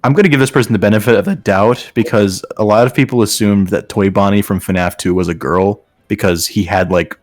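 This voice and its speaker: A man's voice: on the low side, a little fast, few pauses, no echo, clean studio sound, faint music behind. A man reading fan fiction aloud in a mock dramatic style, with amused, incredulous casual banter.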